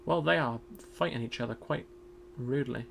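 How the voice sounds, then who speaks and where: A man streaming a game in casual commentary. He is chatty and self-deprecating, thinks aloud, and has a loud voice. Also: medium pitch, normal speed, gravelly, slight background noise.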